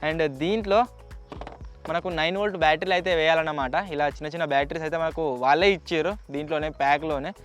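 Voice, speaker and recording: A man's voice: on the high side, fairly even intonation, quick pace, faint music behind. A man with a Telugu accent hosting a casual unboxing vlog, chatty and explanatory, mixing in English words. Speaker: YouTube host.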